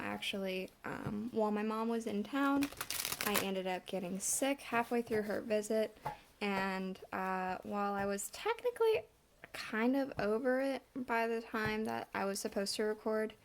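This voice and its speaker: A woman's voice: mid-range voice, measured pace, creaky, a little hiss or hum. Congested woman reading tarot. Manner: relaxed, chatty, many fillers. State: congested, slight cough; a bit winded. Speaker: tarot reader.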